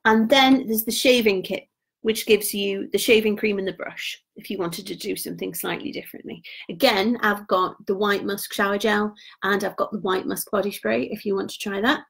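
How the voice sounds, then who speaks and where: A woman, chatting casually on a live stream about gift sets, her voice going hoarse. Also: mid-range voice, fairly even intonation, normal speed. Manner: chatty and informal, trails off quietly. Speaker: beauty product consultant.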